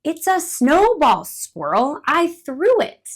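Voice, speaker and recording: A woman's voice: sits high, wildly swooping pitch, slow pace, voice pushed up, full character voice. A woman voicing a cheerful, playful bird in a picture-book reading, explaining things patiently in a sing-song way. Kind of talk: storybook read-aloud voice.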